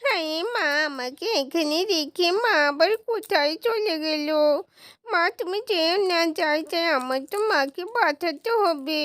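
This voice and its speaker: A woman's voice: very high-pitched, animated, unhurried, a bit bright, no room sound, studio quality, full character voice. A woman voicing a devoted, plucky little boy in a children's doll story, speaking in an earnest, pleading, childlike way. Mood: worried.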